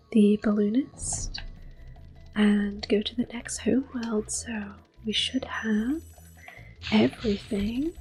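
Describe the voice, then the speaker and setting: A woman giving gentle, hushed ASMR commentary on a video game, slipping between soft speech and whispers. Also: a little high. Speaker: host playing a video game. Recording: soft game music behind. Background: quiet game music.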